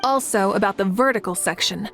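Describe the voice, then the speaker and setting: A woman's voice: mid-range voice, fairly quick, a bit bright, soft background music, close to an everyday voice. A woman playing a confident, composed and playful woman leader in a video game. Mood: thoughtful. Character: confident, playful adult woman leader who likes teasing. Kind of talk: video game character acting.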